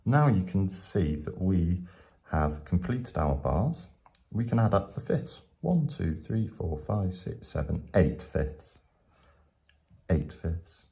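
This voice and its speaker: Deep, slow-talking male maths teacher. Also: big pitch swings, creaky, warm tone. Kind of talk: step-by-step explainer lesson.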